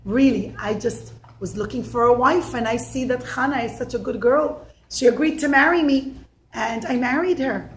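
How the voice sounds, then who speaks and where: A woman's voice: on the high side, moderate pace, slight background noise, natural voice. Brief: woman playing a humble, earnest poor young man, echoey room.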